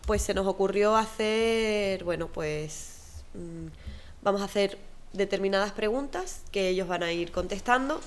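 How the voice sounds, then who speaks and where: A woman's voice: mid-range voice, unhurried. A woman from moderating a panel discussion, speaking hesitantly with many fillers.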